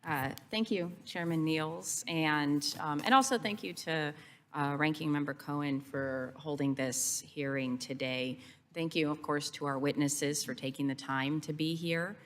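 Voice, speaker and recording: A woman's voice: low-pitched, fairly even intonation, a little slow, a bit bright, slight background noise. A woman speaking as a member of Congress, making formal remarks at a committee hearing. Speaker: congresswoman opening her questioning. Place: indoor committee hearing room.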